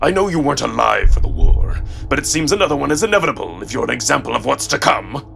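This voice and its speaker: Man voicing a slow, snarling, menacing old wolf lord. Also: mid-range voice, very animated, vocal fry, a bit bright, soft background music, exaggerated voice. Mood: snarling contempt. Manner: slow, deliberate, snarling delivery.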